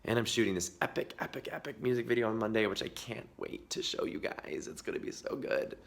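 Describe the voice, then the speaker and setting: A man with a cold talking casually to the camera, hoarse and half-whispering at times to spare his voice. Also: fairly low pitch, fairly quick. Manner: chatty, confiding, partly whispered to save the voice. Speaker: vlogger. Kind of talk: casual vlog talk. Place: outdoors in the woods.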